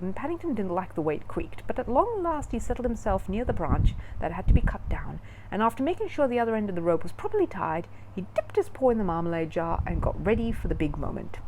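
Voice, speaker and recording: Animated woman reading a children's story. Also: medium pitch, fairly quick, slight background noise, low-quality recording. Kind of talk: expressive children's audiobook narration.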